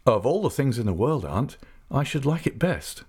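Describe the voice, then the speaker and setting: A polite, dutiful young man, as a male narrator voices him in a storybook. His replies are quiet, deferential and modest, in the reader's own register. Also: mid-range voice, big pitch swings, moderate pace, husky, pitched above the performer's own voice. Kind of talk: audiobook character voice.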